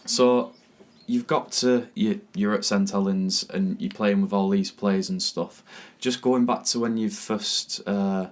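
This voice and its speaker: A man's voice: fairly low pitch, fairly even intonation, a little slow, few pauses, a little hiss or hum. A young man hosting a podcast interview, friendly and agreeable, asking loose questions and often answering with short replies. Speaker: podcast host. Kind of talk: casual interview.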